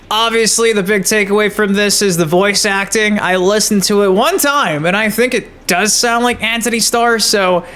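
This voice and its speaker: A man with a high-pitched voice hosts gaming news commentary. He is excitable and emphatic, talking in casual slang as if to regular followers. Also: smooth. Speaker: gaming channel host.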